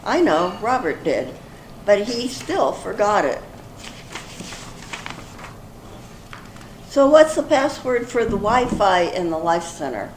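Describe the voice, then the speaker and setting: An older woman playing an earnest, excitable character in an amateur readers theater comedy, reading in a deliberate, expressive way. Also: medium pitch. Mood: inquisitive. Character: earnest older woman, apologetic, increasingly exasperated in comic sketches. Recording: echoey room, faint background noise.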